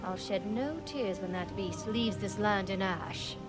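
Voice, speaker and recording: A woman's voice: mid-range voice, measured pace, over loud music, close to an everyday voice. A woman playing a devoted, earnest lady's maid in a fantasy game, speaking in a formal, archaic way. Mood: bitter relief.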